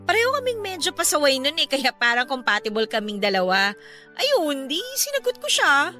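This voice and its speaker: Bright, high-pitched breezy young woman. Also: very animated, moderate pace, exaggerated voice. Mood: light, candid. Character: breezy young woman opening up about an old romance. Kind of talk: Filipino radio drama dialogue. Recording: music bed behind the voice.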